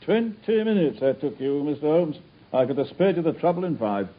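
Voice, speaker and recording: A blustering, self-satisfied police inspector, raspy voice, muffled old radio play. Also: male voice, a little high, expressive, brisk. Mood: boastful. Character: proud blustering police inspector, middle-aged man. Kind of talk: radio drama acting. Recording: dull lo-fi radio broadcast.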